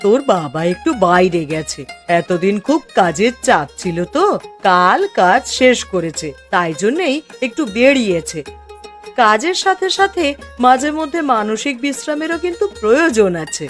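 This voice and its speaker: A woman voicing a middle-aged village housewife in a cartoon story, expressive and exclaiming. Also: fairly high pitch, normal speed, soft background music, lightly acted. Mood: fond. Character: emotional village mother and neighbour wife, middle-aged. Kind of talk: Bengali moral-story cartoon voice acting.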